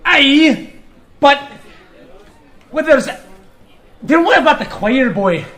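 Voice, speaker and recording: An actor playing a devout, hot-headed but warm priest-warrior with an in a fantasy audio drama. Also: male voice, extremely high pitch, expressive, takes it slowly, heavily acted. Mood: indignant. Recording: music behind the voice.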